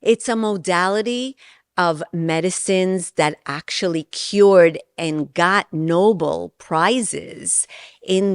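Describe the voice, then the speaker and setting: A woman's voice: fairly low pitch, dry recording, studio quality. A middle-aged woman from Israel and New York, an interview guest explaining her work in an earnest, conversational way. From born in Israel, raised in New York from age ten.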